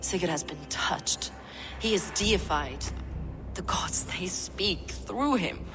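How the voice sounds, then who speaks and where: Cultured game villainess, falsely polite zealot, low and unhurried, reverberant hall. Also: female voice, lively intonation, over music. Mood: quietly menacing. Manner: unhurried, theatrical, falsely courteous. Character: menacing, zealous villainess, softly mocking and cultured. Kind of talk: video game cutscene acting.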